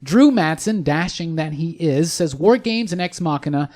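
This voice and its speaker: A man's voice: a little high, expressive, normal speed, a little mellow. A man co-hosting a podcast in casual chat, with a playful, teasing manner. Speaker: podcast co-host.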